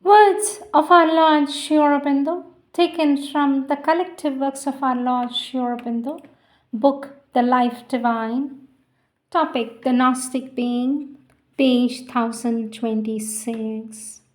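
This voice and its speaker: A woman reads sacred poetry and prose in an unhurried, reverent way, with long pauses between phrases. Her voice sits a little high, and she takes each line slowly and deliberately, as a devotional reader would. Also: steady tone. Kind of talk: slow devotional recitation of scripture and poetry.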